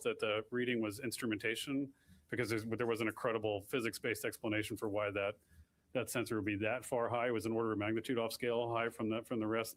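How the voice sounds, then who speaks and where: A man explaining technical launch issues at a press conference, in plain, technical answers with frequent restarts. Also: on the low side.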